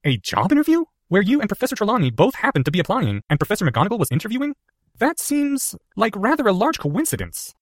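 A man's voice: high voice, huge pitch swings, quick pace, dry recording, clean studio sound, voice pushed up, exaggerated voice. A man reading the part of a clever young boy wizard, talking in an earnest, urgent way.

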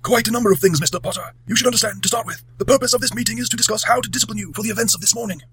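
Guy putting on a breathy old wizard headmaster, high and fast, stern. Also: fairly bright tone, dry recording, full character voice. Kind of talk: audiobook reading.

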